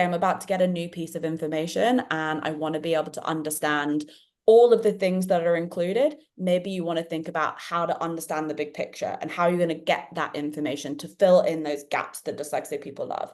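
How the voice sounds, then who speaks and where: A woman hosting an online panel discussion, talking in an energetic, chatty and jokey way. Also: low voice. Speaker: panel host.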